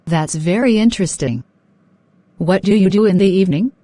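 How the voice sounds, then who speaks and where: A woman playing a polite, eager young woman in a scripted cartoon dialogue for English learners, asking and answering in a deliberate way. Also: mid-range voice, mellow, no echo, lightly acted. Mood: polite, interested.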